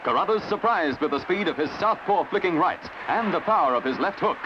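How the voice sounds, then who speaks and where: Animated high-pitched Australian newsreel man. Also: noisy background. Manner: brisk, colourful announcer delivery. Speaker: newsreel narrator. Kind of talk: 1950s newsreel scripted narration. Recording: dull vintage newsreel soundtrack. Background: music.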